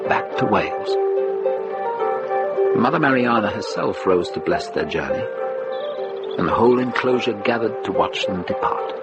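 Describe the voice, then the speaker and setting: A man with a fairly low voice narrates a story in a measured, scripted style. Loud music plays behind him.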